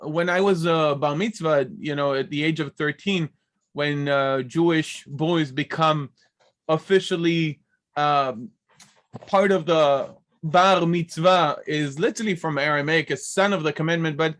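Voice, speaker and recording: A man's voice: fairly high pitch, measured pace, fairly bright tone. A middle-aged man from Israel with an Israeli accent, a native Hebrew speaker talking as a guest, talkative and digressive with many fillers and restarts. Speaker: guest, biblical languages professor. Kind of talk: conversational interview.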